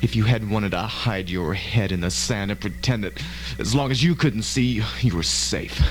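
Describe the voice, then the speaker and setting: A man's voice: on the low side, moderate pace, natural voice. A man playing a defiant, fatalistic, obsessed scientist in an old-fashioned radio drama, intense and theatrical. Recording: old amateur tape, faint hum.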